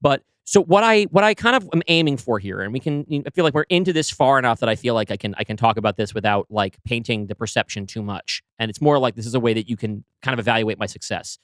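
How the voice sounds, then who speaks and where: A man's voice: mid-range voice, animated, quick pace, few pauses, fairly bright tone, no echo. A married man co-hosting a casual podcast, thoughtfully explaining his creative choices with frequent restarts. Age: adult, married. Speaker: podcast co-host, writer of the story.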